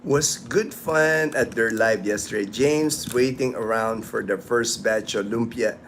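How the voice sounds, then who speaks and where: A man's voice: mid-range voice, measured pace, a bit bright, a little hiss or hum. A man in his late fifties hosting a casual livestream, chatting with viewers in a rambling, easygoing way with lots of restarts, outdoors in the sun. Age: 50s. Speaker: livestream host. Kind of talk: casual livestream chat reading viewer comments.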